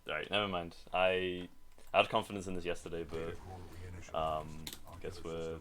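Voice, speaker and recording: A man's voice: deep voice, little pitch movement, a little slow, fairly bright tone. A man speedrunning a game live, muttering short casual remarks as he plays.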